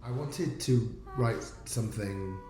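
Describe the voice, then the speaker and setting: Low-voiced man in an echoey room. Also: takes it slowly. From North of England. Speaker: guest musical theatre writer. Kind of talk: explaining own creative work. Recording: echoey room, music behind.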